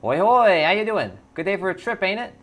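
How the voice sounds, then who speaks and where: A twitchy orc hustler from a cyberpunk game, acted out by a man, pitched well above a normal male voice. He is loud and jittery, repeating himself in slangy sales patter, with music playing underneath. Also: animated, fairly quick. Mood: jittery, friendly.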